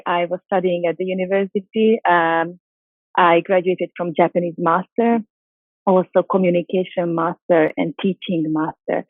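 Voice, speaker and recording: A woman's voice: lowish voice, no echo. A Hungarian-native woman, a model and actress, answering interview questions in a chatty way with lots of fillers and restarts. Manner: chatty, many fillers and restarts, light laughs. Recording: dry tinny phone-like call audio.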